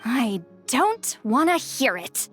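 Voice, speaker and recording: An actress voicing a proud former commander who is guarded, wry and quick to get flustered, in video game dialogue. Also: female voice, high voice, big pitch swings, a bit bright, full character voice. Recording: game audio over faint music.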